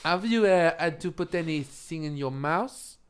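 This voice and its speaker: A man's voice: fairly high pitch, expressive, normal speed, smooth. A man playing a guest director in a comedic mock interview, mock-earnest and playful.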